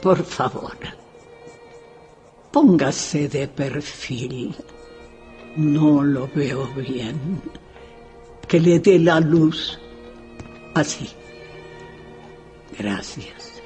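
An actress playing a very old, courteous but imperious widow in a radio drama, speaking with formal politeness. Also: female voice, sits low, extremely slow, pauses often, warm tone, faint music behind. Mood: courteous, probing.

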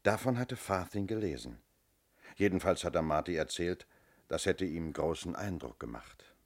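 An actor playing a shrewd, self-assured police inspector in a radio crime drama, speaking firmly and methodically. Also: male voice, low-pitched, expressive, normal speed. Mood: certain, explanatory. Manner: methodical, firm, explanatory.

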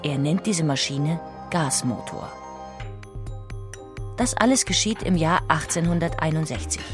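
A woman reading a scripted documentary narration as an audiobook narrator. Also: low voice.

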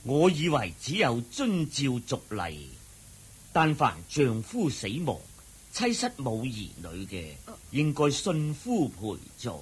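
A man's voice: medium pitch, wildly swooping pitch, takes it slowly, slightly rough, full character voice. A man playing a stern clan chief in a Cantonese radio drama, formal and pompous, with a Hong Kong accent. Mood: solemn, formal.

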